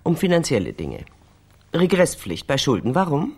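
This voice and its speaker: A woman's voice: deep voice, wildly swooping pitch, moderate pace, a little mellow, husky, heavily acted. An actress playing a guarded, hesitant female office secretary under questioning in a radio crime drama. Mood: concerned, confiding.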